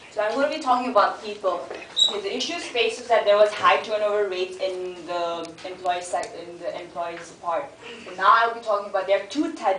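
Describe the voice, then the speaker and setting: A woman's voice: medium pitch, fairly bright tone, a little hiss or hum. A young woman gives a casual, direct class presentation and talks straight to the audience. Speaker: student presenter. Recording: echoey classroom, mic far off.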